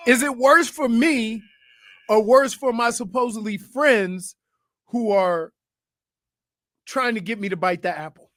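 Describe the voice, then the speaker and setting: A man's voice: very high voice, a bit bright, no room sound, studio quality. A man co-hosting a sports radio show, playfully protesting and joking with the others. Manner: playful, protesting, quick to joke. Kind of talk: casual sports radio banter.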